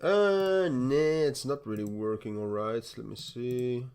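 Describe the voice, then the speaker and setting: A young man with a Dutch accent chats loosely into a desk mic, laughing now and then and tripping over repeated words. His pitch sits mid-range for a man, and keyboard typing clacks in the background. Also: slow, darkish tone, clear, resonant tone. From Netherlands. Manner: rambling, laughs often, many repeated starts, casual swearing. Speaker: crypto livestream host. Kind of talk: casual livestream chat while trading.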